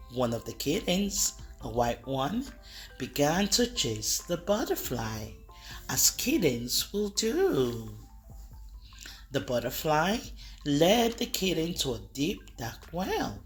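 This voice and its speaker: A woman reading a picture book aloud to children, expressive and talking to the kids directly. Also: sits low, rough recording. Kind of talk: read-aloud storytelling for kids.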